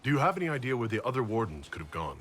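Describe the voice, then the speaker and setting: A probing inquisition agent from a fantasy game, voiced by a male actor. He talks quickly at a middling pitch, formal and businesslike. Music is clearly heard behind his words. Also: very animated, close to an everyday voice. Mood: skeptical. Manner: formal, measured wording, businesslike. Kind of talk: dramatic fantasy game dialogue. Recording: prominent background music under the voice.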